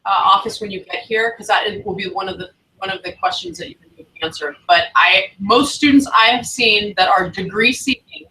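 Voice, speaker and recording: Bright-voiced woman advisor, slightly off-mic. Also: mid-range voice, normal speed, hardly any pauses, recorded from a distance, rough recording. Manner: chatty, encouraging, loose phrasing. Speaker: academic advisor. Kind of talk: casual livestream talk to new students.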